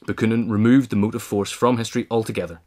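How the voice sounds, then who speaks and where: A man's voice: fairly low pitch, fairly even intonation, fast, a little mellow. A man reading scripted nonfiction narration as an audiobook reader. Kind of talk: scripted narration of a political history essay.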